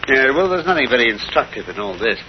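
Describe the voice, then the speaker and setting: An actor playing an assured, cerebral detective in a 1930s radio drama, with precise, formal, theatrical diction. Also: male voice, mid-range voice, very animated, fast, lightly acted. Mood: brisk, musing. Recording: dull, noisy 1930s radio recording.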